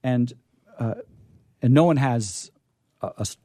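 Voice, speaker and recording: An American man hosting a radio show, chatting casually and enthusiastically about books in a halting, discursive way with emphatic repetition. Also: mid-range voice, dark tone, dry recording, clean studio sound. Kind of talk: casual book chat.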